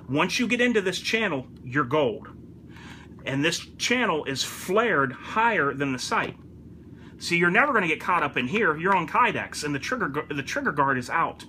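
A man's voice: on the high side, background noise. A man talking casually to camera as a hobbyist presenter, informal and repeating his points for emphasis. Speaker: gun enthusiast presenter. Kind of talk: casual product review to camera.

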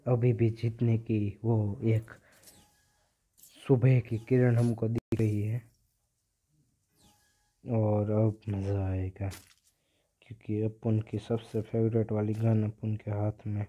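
A man's voice: lowish voice, monotone, slow pace, long pauses, dark tone, lo-fi sound. A young man with an Indian accent giving casual mobile game commentary, often repeating short phrases. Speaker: gaming video host.

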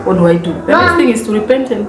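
A young Kenyan woman answering questions at her doorway, speaking softly in brief replies. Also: medium pitch, very animated, fast. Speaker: person being visited. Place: outdoor building entrance. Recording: echoey noisy outdoor ambience.

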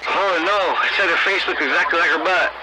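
A man's voice: high-pitched, fast talker. An American man bickering over CB radio in a dismissive, taunting manner. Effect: CB radio transmission. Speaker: CB radio operator. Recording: CB radio with loud static.

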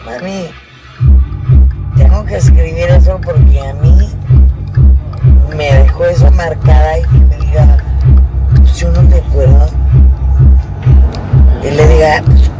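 A woman's voice: sits low, slow, pauses often. A woman giving blunt, foul-mouthed personal testimony in a casual style. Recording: loud music over the voice.

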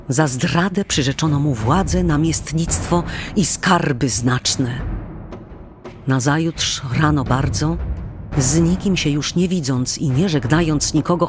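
A man narrating a historical audio drama in a scripted storybook style. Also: on the high side. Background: prominent music with drums.

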